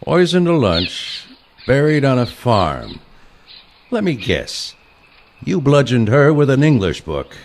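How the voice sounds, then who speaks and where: A skeptical old-school assassin from a comic audio play, acted by a man. He speaks slowly with wide-swinging intonation, delivering wry, deadpan sarcasm to his former pupil. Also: medium pitch, full character voice.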